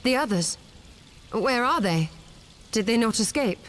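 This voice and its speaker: A woman's voice: fairly high pitch, animated, a little slow. A woman playing a composed, wise and gracious female mage in a video game, speaking in formal, archaic phrases. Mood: worried.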